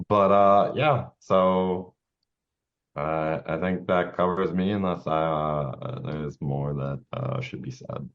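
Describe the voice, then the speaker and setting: A man chatting casually as a player in a tabletop game, hesitant and halting, through a dull lo-fi microphone. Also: low voice. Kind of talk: casual chat.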